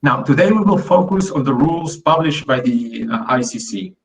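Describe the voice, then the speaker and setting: A man's voice: mid-range voice, animated, moderate pace, distant mic, low-quality recording. A man from Peru giving an online academic talk in English, hesitant with many fillers and restarts. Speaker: guest lecturer.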